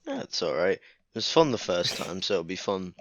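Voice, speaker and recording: A young man from northwest England, a podcast guest chatting casually in a relaxed, rambling way, leaning on "like" a lot. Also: low voice, moderate pace, creaky, no room sound. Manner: relaxed, rambling, heavy use of "like". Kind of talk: casual chat.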